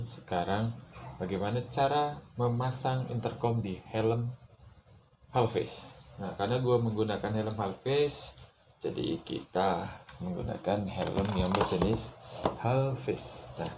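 A man talks through a casual how-to. He goes very slowly, in informal, halting steps. There is clear background noise in the recording. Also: fairly low pitch, darkish tone. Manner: informal, halting step-by-step explaining with frequent tag words. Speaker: motorcycle vlogger presenting a how-to. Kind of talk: casual hands-on tutorial talk. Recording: noticeable background noise, room ambience.

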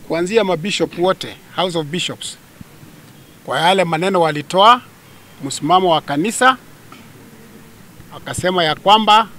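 High-pitched Kenyan man giving a press statement. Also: fairly bright tone. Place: outdoors among a crowd of people. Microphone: cluster of handheld press microphones. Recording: light background noise, outdoors.

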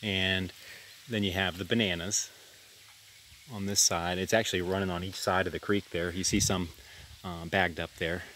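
A man giving a relaxed, rambling tour of his farm by a creek, filmed on a handheld camera outdoors. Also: low-pitched, moderate pace, a little hiss or hum. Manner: rambling, relaxed, self-deprecating with restarts. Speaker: farm vlogger. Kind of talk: casual walk-and-talk farm tour.

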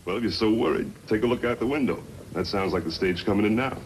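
An actor playing a cocky hired gunman in a 1950s radio western, with folksy, rough grammar. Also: fairly low pitch, quick pace, natural voice. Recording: noisy vintage 1950s radio recording.

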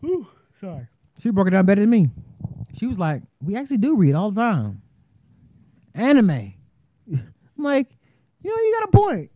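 A man's voice: high voice, huge pitch swings, normal speed, pauses often, no room sound. A man co-hosting a casual podcast chat, joking around in a loose, profane way, streamed live from a phone. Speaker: podcast co-host. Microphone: phone livestream. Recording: dry tinny phone-like sound.

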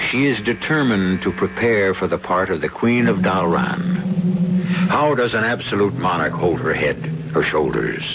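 A man hosting and narrating a 1970s radio mystery drama with a measured, dramatic delivery. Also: low voice, noisy background. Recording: muffled old radio broadcast with music.

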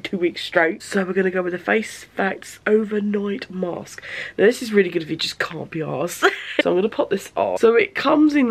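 A young woman vlogging casually to a handheld camera, congested and with a sore throat, chatty and rambling. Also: medium pitch. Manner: chatty, bubbly, rambling with many "like"s. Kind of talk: casual vlog chat to camera. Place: at home indoors and in a car. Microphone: handheld camera close to face.

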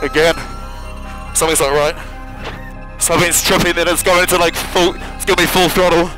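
A man's voice: on the high side, flat delivery, normal speed. A man, a racing driver, making urgent, clipped reports over team radio. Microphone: helmet radio mic. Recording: team radio with music and engine noise. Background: music, car noise.

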